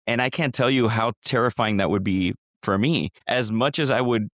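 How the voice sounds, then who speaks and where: A man's voice: mid-range voice, normal speed, a bit bright, no room sound, highs cut off. A middle-aged man co-hosting a podcast, chatting casually, rambling with restarts and casual swearing. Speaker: podcast co-host. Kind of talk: casual chat.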